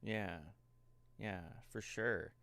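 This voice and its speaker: A man's voice: on the low side, steady tone, very slow, creaky, pushed and bright, smooth, dry recording. A man hosting a casual interview show over a video call, chatty and joking, laughing often. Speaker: podcast host.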